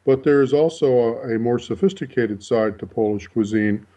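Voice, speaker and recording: A man who grew up in Canada and the US, born in Europe, answering interview questions as a cookbook author in a measured way with "you know" fillers. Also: mid-range voice, warm tone, far from the mic. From Europe, raised in Canada and the US.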